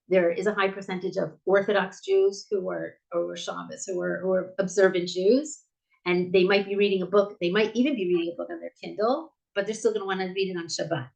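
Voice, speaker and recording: A woman's voice: medium pitch, creaky, a little mellow, low-quality recording. A middle-aged American woman, a bookstore owner, answering interview questions in a chatty way with frequent restarts and fillers. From United States.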